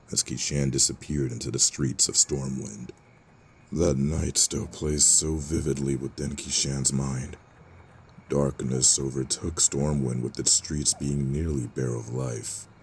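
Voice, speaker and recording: A man narrating a fantasy audio drama in a measured, solemn storytelling manner. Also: very deep voice, a little mellow, slight background noise.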